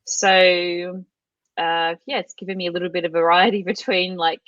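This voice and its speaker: A woman's voice: on the low side, level tone, normal speed, a bit bright, dry recording, dull audio. A middle-aged Australian woman chatting casually as an interview guest, with lots of fillers and restarts. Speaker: guest, language course creator.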